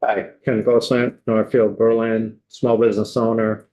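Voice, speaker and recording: A man's voice: fairly low pitch, flat delivery, unhurried, dark tone, lo-fi sound. A man who is a legislator and small business owner, introducing himself with self-deprecating jokes.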